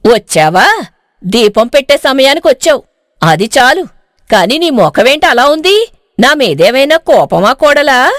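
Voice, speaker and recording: A proud, commanding village mother-in-law, acted by a woman storyteller, speaking in a high voice with lively swings. She is strict and matter-of-fact, with a caring purpose beneath it. The sound is close and dry, suited to a storybook tale. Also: measured pace, no room sound. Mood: brisk, probing.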